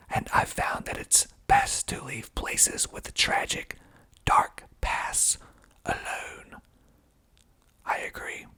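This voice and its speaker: A man speaking in a hushed, breathy, husky ASMR whisper, reading aloud and commenting in a deliberate way. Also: lowish voice. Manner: slow, deliberate, hushed delivery. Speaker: filmmaker reading reviews of his movie.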